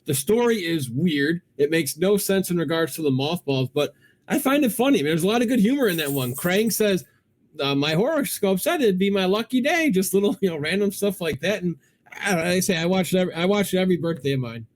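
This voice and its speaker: A man's voice: high voice, expressive, brisk. A middle-aged man hosting a cartoon countdown show, chatting casually and enthusiastically as a fan.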